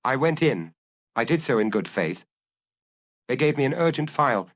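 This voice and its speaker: Man acting an anguished fugitive clerk, animated pitch. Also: normal speed, no room sound. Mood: anguished, confessional. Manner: agitated, confessional. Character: anguished, restless male fugitive office clerk on the run. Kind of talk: audiobook character dialogue.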